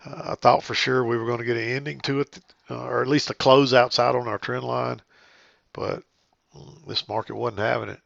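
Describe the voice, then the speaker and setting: Man, Southern accent, stuffy and hoarse, gravelly, dry dull audio. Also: mid-range voice, moderate pace, dry recording. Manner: calm, methodical, many ums and uhs. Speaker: trading educator. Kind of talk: day trading chart recap.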